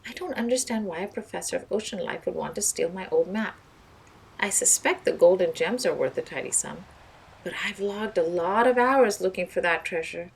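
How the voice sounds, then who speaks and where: A woman's voice: fairly low pitch, expressive, moderate pace, gravelly, a little hiss or hum, low-quality recording. A woman voicing a plain-spoken male sea captain in a children's storybook, hearty and matter-of-fact. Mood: puzzled.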